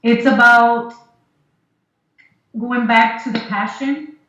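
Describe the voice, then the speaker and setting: A woman's voice: fairly high pitch, fairly even intonation, slow pace, fairly bright tone, heavy reverb, dull audio. A woman guest on a talk show who speaks earnestly and from the heart. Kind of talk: casual radio talk.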